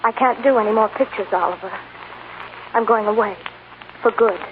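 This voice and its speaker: A woman's voice: a little high, normal speed, narrow, tinny audio, close to an everyday voice. A young film star wife, played by a woman in a 1940s radio melodrama. She is heartfelt, earnest and self-sacrificing. The old broadcast sounds thin and noisy. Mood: resolute, sorrowful.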